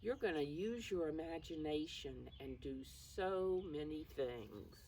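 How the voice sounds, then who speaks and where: Slow, lilting woman reading to small children. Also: sits low, lively intonation, dark tone. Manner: encouraging, addresses young children directly. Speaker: preschool teacher reading a picture book. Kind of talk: children's read-aloud with simple explanations. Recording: distant mic with faint background noise.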